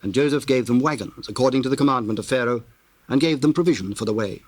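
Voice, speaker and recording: Solemn mid-pitched male scripture narrator. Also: no room sound. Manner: measured, solemn, formal.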